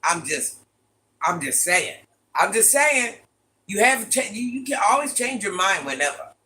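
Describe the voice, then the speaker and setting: An older woman with a very deep voice, hosting a casual livestream, reading viewer comments and playfully trash-talking. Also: very animated, measured pace, bright, forceful tone. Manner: playful trash talk, sassy asides. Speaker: livestream host.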